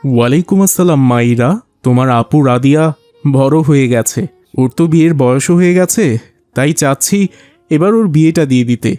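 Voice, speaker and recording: A man voicing a gentle, reasonable middle-aged father in an Islamic cartoon, explaining things patiently and affectionately. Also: medium pitch, moderate pace, no echo, soft background music, natural voice.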